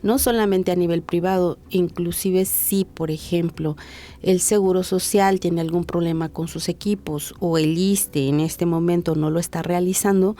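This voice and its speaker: Mellow-voiced Mexican woman doctor explaining. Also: fairly low pitch, steady tone. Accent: Mexican Spanish. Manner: measured, didactic, slows down to stress points. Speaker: physician, hearing and speech specialist. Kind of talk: interview answers, explanatory.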